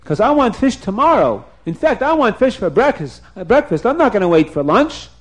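A man voicing a demanding, hot-tempered storybook king with whiny, repetitive, shouted demands. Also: sits high, very animated, brisk, pitched above the performer's own voice. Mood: impatient, demanding.

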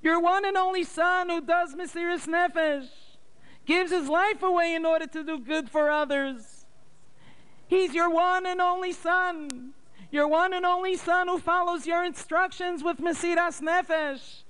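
A man voicing an elderly mother who pleads and wails in fright and grief, crying out in a loud, high voice. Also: very animated, a little slow, clear, resonant tone, heavily acted, rough recording. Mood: wailing. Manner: wailing high cries.

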